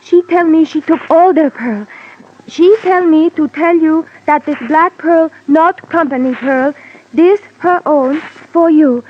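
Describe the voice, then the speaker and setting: An actress playing a gentle, anxious young island woman who speaks simple broken English in an old-time radio drama. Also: high-pitched, takes it slowly. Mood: earnest. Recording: muffled, noisy old radio recording.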